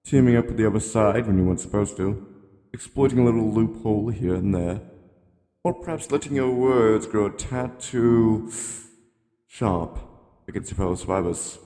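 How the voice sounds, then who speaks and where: A man voicing a genteel, rambling elderly animatronic hippo from a game, politely ominous and gently admonishing in a slow, courtly monologue. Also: on the low side, lightly acted. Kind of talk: scripted character monologue. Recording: long cavernous reverb.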